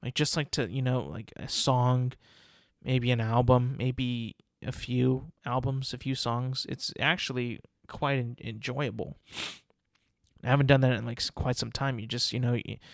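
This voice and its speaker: Brief: young male podcast host, stuffy nose, loose rambling delivery full of fillers, mid-pitched voice, dry close sound. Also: steady tone, measured pace, gravelly, dry recording. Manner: rambling, many fillers and restarts. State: congested, sniffling. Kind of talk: casual solo podcast talk.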